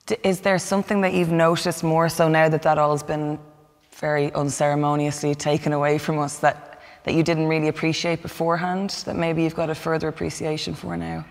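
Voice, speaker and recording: A woman's voice: low voice, flat delivery, a little fast. Young Irish woman interviewing in a hall. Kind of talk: casual, conversational interviewing. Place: large dim indoor hall. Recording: reverberant large hall.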